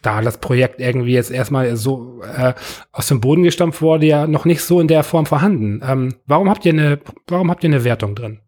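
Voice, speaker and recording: Hesitant German-speaking interviewer, many fillers. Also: male voice, medium pitch, fairly quick, a little mellow. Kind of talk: casual interview.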